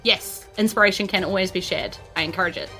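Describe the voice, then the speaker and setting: A woman's voice: on the low side, quick pace, bright, forceful tone. A woman narrating a tabletop role-playing game as game master, improvising conversationally. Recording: music behind the voice. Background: background music.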